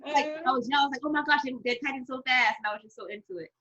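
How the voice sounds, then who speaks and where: A woman's voice: high voice, rapid-fire, muffled recording, a crowd in the background. A woman guest in a casual talk who tells stories in a bubbly, giggly way. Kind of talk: casual conversation.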